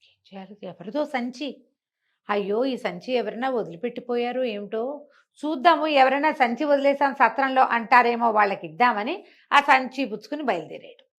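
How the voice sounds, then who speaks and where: High-voiced woman telling a folk tale. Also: moderate pace. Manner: conversational, repeats and explains details. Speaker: storyteller. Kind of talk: oral bedtime folk-tale storytelling to a listener.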